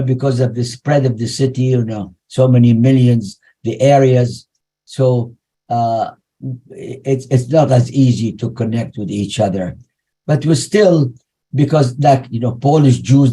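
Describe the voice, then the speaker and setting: An older man from Iraq, Arabic his first language, speaking with an Iraqi Arabic accent in a halting webinar talk over a video call, full of restarts and "you know". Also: mid-range voice, warm tone, slightly rough. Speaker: guest speaker.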